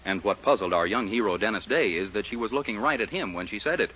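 A man's voice: fairly low pitch, expressive, fast, sharp tone, a little hiss or hum. An American man announcing a 1940s radio show with polished, emphatic scripted delivery. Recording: dull 1940s radio recording.

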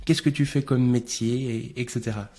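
A man's voice: medium pitch, fairly even intonation, normal speed, dark tone. A man hosting a podcast, reading a punchy opening and then asking loose questions with fillers. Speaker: podcast host. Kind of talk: podcast intro and interview questions.

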